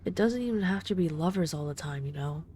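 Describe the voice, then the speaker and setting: Woman voicing a mellow, low-pitched, ageless vampire woman. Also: animated. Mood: wistful, reflective. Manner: unhurried, intimate, gently condescending. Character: ageless female vampire, boss's daughter, tender, wistful and commanding. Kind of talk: intimate scripted audio roleplay.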